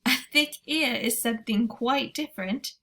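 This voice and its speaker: A woman voicing a polite, brave little girl with a high, earnest voice. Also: moderate pace, bright, forceful tone.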